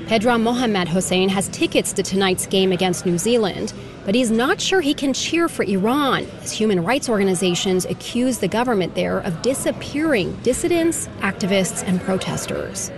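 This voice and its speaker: A woman reporter narrating a scripted radio news story in a measured, even delivery. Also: medium pitch, music in the background.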